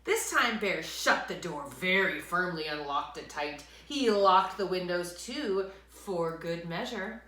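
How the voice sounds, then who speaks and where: A woman reads a children's story aloud at an unhurried pace. Her pitch swings widely as she leans on key words and stretches them out. The room gives her voice a noticeable echo. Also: expressive. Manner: dramatic emphasis, drawn-out words, hushed asides. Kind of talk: expressive children's story reading with asides to listeners. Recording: echoey room.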